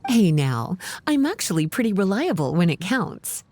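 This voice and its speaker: A woman's voice: fairly low pitch, big pitch swings, moderate pace, darkish tone. A woman voicing a self-assured, teasing adult mentor in a video game, with a playful manner. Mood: amused. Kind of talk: video game cutscene voice acting.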